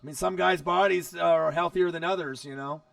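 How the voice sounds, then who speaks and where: A man hosting a casual live stream while watching football, chatty and excitable, his voice hoarse from losing it. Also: on the high side, moderate pace, no room sound, far from the mic. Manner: excitable, chatty, talks straight to viewers.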